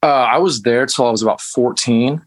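A man's voice: medium pitch, moderate pace. A man from West Texas, a band musician, telling stories in a casual interview in a loose, laid-back way with plenty of fillers and some swearing. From West Texas, USA.

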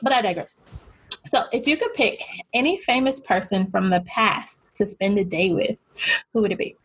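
A woman's voice: mid-range voice, animated, husky, poor audio. A woman hosting a podcast, asking questions in a playful, teasing, casual way. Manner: playful, teasing, quick backchannels. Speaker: podcast host. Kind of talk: casual interview chat.

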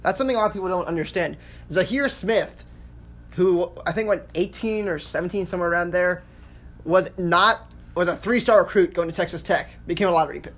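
A man's voice: high-pitched, fairly bright tone. An American man co-hosting a sports podcast, making his case in a casual back-and-forth debate.

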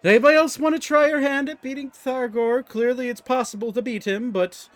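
A smug half-elf ringmaster, put on by a man, calling for bets with showy flair and dry, snide asides. His voice is lifted high above the speaker's own and bright in tone. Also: fairly even intonation, fairly quick, dry recording. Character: smug male half-elf fight announcer.

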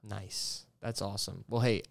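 A man's voice: lowish voice, little pitch movement, unhurried, vocal fry. Stammering, enthusiastic man hosting a podcast. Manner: stammers, repeating sounds and syllables. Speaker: podcast host interviewing a guest. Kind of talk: casual conversational interview.

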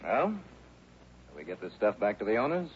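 An actor playing a wry, brisk, self-assured investigator in a 1960s radio detective drama. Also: male voice, fairly low pitch, sing-song. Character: wry, self-assured male insurance investigator, hard-boiled sleuth. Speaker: lead actor and narrator of a radio detective series. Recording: muffled, noisy old radio recording.

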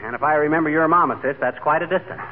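A man's voice: a little high, rapid-fire, close to an everyday voice. An American man acting as an exasperated, bumbling, grumbling husband in a 1930s radio comedy. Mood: blustering, annoyed. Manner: grumbling, blustery, theatrical. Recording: tinny 1930s radio broadcast, loud static, audience.

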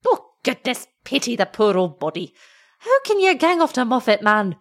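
A woman's voice: on the high side, big pitch swings, moderate pace, voice pushed up. A woman voicing an anxious, scolding old shepherd's wife in a story, with a Scottish accent and fretful, exclamatory speech. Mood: alarmed, scolding.

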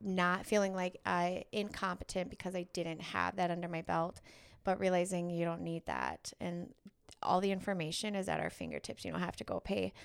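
Candid woman with a smooth, gravelly voice. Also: on the low side, expressive, moderate pace, no room sound. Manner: candid, emphatic, restarts mid-sentence. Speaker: podcast co-host. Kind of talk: casual chat.

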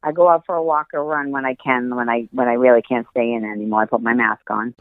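A woman's voice: extremely low pitch, fairly even intonation, fast talker, no room sound, narrow, tinny audio. A woman with a nasal voice chatting casually and emphatically as an interview guest, often repeating her phrases. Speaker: interview guest, actress and film producer. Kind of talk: casual chat. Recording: thin telephone or radio-like line.

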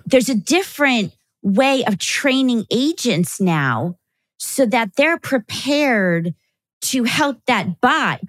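A woman hosting a podcast interview, measured and affirming, with thoughtful pauses. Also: a little high, no room sound. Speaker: host.

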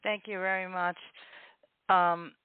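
A woman's voice: on the low side, flat delivery, slow pace, clear, resonant tone, no room sound. A woman hosting a casual call-in interview, friendly and restarting her sentences often. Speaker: podcast host. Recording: tinny phone-like audio, dry.

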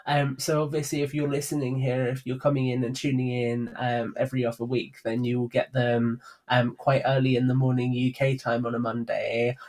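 Man, medium pitch, casual podcast guest, conversational. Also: little pitch movement, normal speed, few pauses, darkish tone. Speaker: podcast guest, audio drama writer and producer.

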